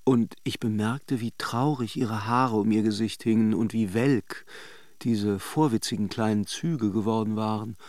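An actor playing a worldly, ironic middle-aged man who recalls an affair in a radio drama, with deliberate hesitations. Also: on the low side, darkish tone, no room sound, close to an everyday voice. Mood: reflective. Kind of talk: radio drama monologue, narrated as a confession.

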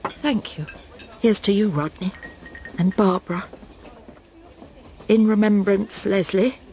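A woman's voice: medium pitch, slow, darkish tone, a little hiss or hum, natural voice. An actress playing a proper middle-aged Englishwoman in a radio drama, with an English accent. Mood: relieved, contrite. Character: smug middle-aged Englishwoman, lost and breaking down.

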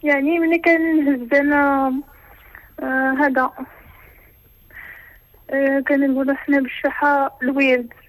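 A woman in her twenties with a Moroccan accent calling in to a radio show, asking her questions hesitantly with halting restarts. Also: high-pitched, level tone, low-quality recording. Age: 20s. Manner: hesitant, halting, many fillers. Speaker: caller.

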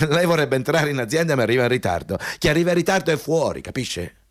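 An arrogant male company boss, played by a radio actor, bullies whoever sits across the desk. His pitch swings widely as he pompously hammers on repeated words. The studio sound is very dry and close. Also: animated, quick pace, dry recording, close to an everyday voice. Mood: haughty, scolding. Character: arrogant, bullying male company boss interviewing a job applicant. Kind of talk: Italian radio play acting.

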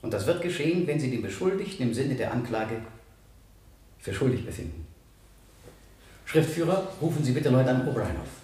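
An actor in a radio play voicing a stern, impassioned male prosecutor who addresses a jury in formal, emphatic oratory with deliberate pauses. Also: medium pitch, very animated, dark tone, close to an everyday voice. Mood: brisk, official. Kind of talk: 1970 German radio play, courtroom oratory. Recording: echoey courtroom sound, distant from mic.

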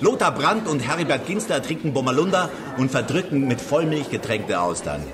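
An actor playing a boisterous film-world guest who tells old anecdotes with theatrical, mocking relish in a radio play. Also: male voice, medium pitch, fairly quick, close to an everyday voice. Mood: exuberant, nostalgic. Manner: theatrical, exuberant, mocking storyteller. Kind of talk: radio drama acting. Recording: lively crowd chatter behind.

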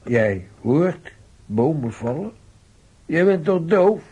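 A man's voice: a little high, big pitch swings, slow pace, mellow, slightly rough, lo-fi sound, exaggerated voice. An actor playing a grumbling, bewildered old woodsman in a radio drama, muttering and repeating himself. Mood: sleepy, puzzled. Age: older. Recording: noisy old radio play recording.

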